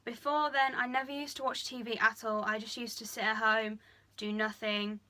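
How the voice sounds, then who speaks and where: Young girl vlogging, kinda high voice, super bright, lots of um and like, just being real. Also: little pitch movement. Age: young adult. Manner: chatty and frank, many fillers like "um" and "like"; deliberately speaking lower than usual. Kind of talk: candid personal confession.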